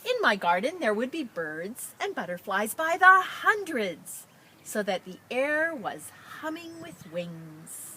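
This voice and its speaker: A woman who works as a teacher librarian, talking and reading a picture book to children in an expressive, enthusiastic way. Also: fairly high pitch, takes it slowly, noisy background. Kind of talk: children's story read-aloud with casual introduction.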